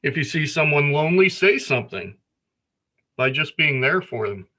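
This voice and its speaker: A man reading a children's picture book aloud in an expressive storytelling manner. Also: on the high side, normal speed. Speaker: story reader.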